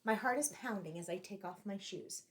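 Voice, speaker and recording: A woman reading a picture book aloud to children in an expressive, deliberately phrased storytelling style, like a teacher. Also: mid-range voice.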